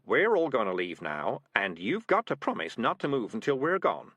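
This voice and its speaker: A scheming street tomcat, put on by a man reading aloud, wheedling and slick with every excuse. The voice sits a touch higher than the narration and swings lively up and down. It is close and dry, with no room around it. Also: moderate pace, no echo. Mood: commanding. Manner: wheedling, smooth-talking. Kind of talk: audiobook character voice.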